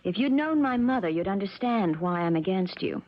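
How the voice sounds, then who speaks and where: A woman's voice: medium pitch, expressive, moderate pace, natural voice. An actress playing a cold, spoiled, scheming young rich woman in a 1970s radio drama, speaking in a haughty, cutting way. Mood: bitter, scornful. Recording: dull, noisy 1970s radio recording.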